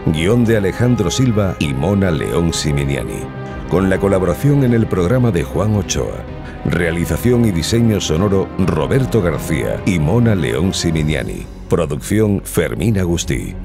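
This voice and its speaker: Deep, gravelly male announcer. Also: sing-song, normal speed, music in the background. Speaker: announcer reading credits. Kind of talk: scripted announcement.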